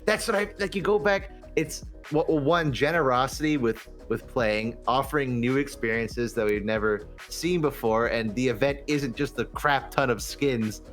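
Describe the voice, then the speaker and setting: A man's voice: medium pitch, moderate pace, few pauses, fairly bright tone. Man in casual gaming talk over faint music. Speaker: podcast guest.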